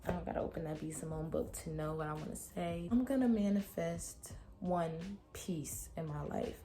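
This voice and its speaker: Young female vlogger, low deep voice with a slight rasp, candid and emotional, talks straight to her viewers from her room. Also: normal speed, mellow. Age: young adult. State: raspy throat from a cold night. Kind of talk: personal reflective vlog.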